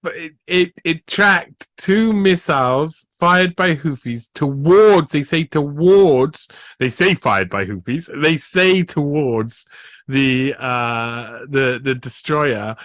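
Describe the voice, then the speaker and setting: A man's voice: high voice, a bit bright, dry recording. A man hosting a podcast, giving sarcastic, rambling commentary on a news clip and laughing at his own jokes. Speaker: podcast host.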